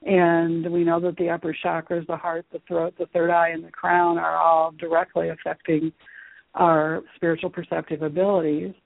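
A woman speaking as a guest teacher and author in a radio interview, explaining things in a measured way. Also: fairly low pitch, flat delivery, vocal fry, no room sound. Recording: muffled phone-like radio line.

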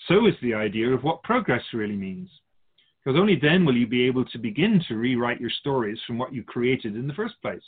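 A man playing an otherworldly, wise spiritual guide in a radio drama, measured and instructive with gentle amusement. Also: mid-range voice, very animated, breathy edge. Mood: serene, knowing. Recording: slightly muffled, phone-like narrow sound.

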